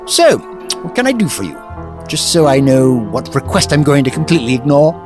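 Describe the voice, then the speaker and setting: An actor playing a flippant, clever time-travelling adventurer in an audio drama, chatty, wry and breezily polite. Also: male voice, medium pitch, big pitch swings, a little fast, music in the background, lightly acted.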